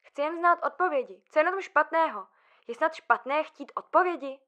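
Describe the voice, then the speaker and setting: A woman's voice: high voice, lively intonation, a bit bright. An actress playing an earnest, troubled young woman confined as a patient in an audio drama, insistently questioning everything. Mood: pleading, desperate. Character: distressed, overthinking young female patient confined for years.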